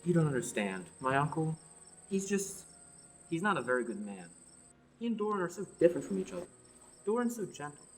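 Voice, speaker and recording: A woman's voice: low voice, very animated, a little fast, pauses often, a little mellow. A young woman acting a teasing, battle-hardened young warrior on a quest in a radio play. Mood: regretful, wistful. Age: young adult. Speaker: student actor. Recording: clear background noise, night insects.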